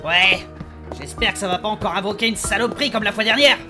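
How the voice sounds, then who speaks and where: A man's voice: sits high, fast, music in the background, natural voice. A man playing a crude, impatient, easily panicked adventurer who swears and hesitates in a comedic fantasy audio drama. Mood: wary, irritated.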